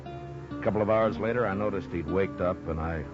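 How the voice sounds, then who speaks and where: An actor playing a steady, compassionate frontier marshal in an old time western radio drama. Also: male voice, low voice, moderate pace, lightly acted. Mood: narrating. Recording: muffled old radio recording with music.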